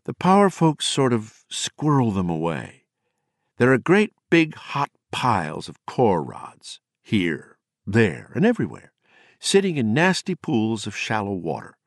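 Guy doing a drunk bitter poet, sneering and loud, deep-ish voice that swings around a lot. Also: huge pitch swings, unhurried, no echo, clean studio sound, heavily acted. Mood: mocking. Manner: mocking, sardonic, raising his voice. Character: drunk, bitter, eloquent male poet ranting.

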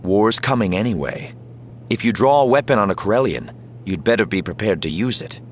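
A man voicing a calm, determined Jedi commander in an audiobook, speaking with controlled, persuasive assurance. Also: on the low side, brisk, slight background noise, pitched above the performer's own voice, lightly acted. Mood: insistent.

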